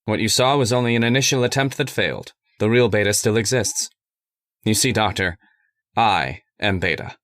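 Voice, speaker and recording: A man playing a megalomaniac robot passing as human in an old-time radio horror drama, deliberate and grandiose. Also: on the low side, fairly bright tone, no room sound, close to an everyday voice. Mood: menacing, triumphant. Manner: deliberate, grandiose villainous pronouncements. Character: megalomaniac robot disguised as a man, menacing and coolly certain.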